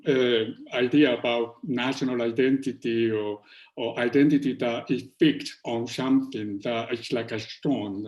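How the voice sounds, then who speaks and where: A man speaks slowly and deliberately, reflecting aloud as he works through his ideas. His voice sounds roomy, with a noticeable echo around it. His pitch sits in an ordinary middle range. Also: a little mellow. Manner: slow and reflective. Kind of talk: conversational panel interview.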